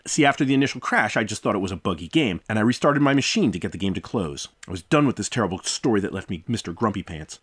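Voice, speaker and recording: A man hosting a game review, delivering scripted commentary in an impassioned, theatrical way that moves between profanity and sentiment. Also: mid-range voice. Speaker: video host, game reviewer.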